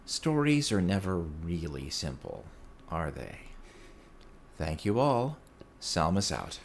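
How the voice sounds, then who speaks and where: A man's voice: low-pitched, huge pitch swings, slow pace, gravelly. A man giving a prepared, playful report as a listener contributing to a gaming podcast, with some noise behind him. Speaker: listener sending in a recorded report. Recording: noticeable background noise.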